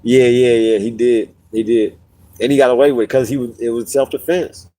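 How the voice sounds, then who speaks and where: A man's voice: medium pitch, moderate pace. A man hosting a casual podcast livestream, telling stories with lots of repeats and restarts, sniffling as he gets over a cold. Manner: animated storytelling, repeats and restarts phrases. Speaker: podcast host.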